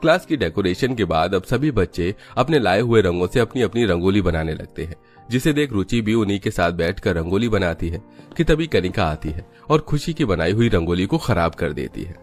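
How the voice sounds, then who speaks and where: A man's voice: low-pitched, lively intonation, fairly quick, faint music behind. A man reading scripted narration for a children's moral story.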